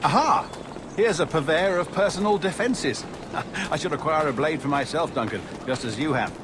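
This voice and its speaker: A genteel British merchant, played by a male actor, with a highish voice and fussy, easily flustered manners. He is a timid but jolly fellow from a video game, heard over loud outdoor street noise. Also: animated, moderate pace, natural voice. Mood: jolly, excited. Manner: fussy, polite, flustered, hesitant. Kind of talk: video game character acting.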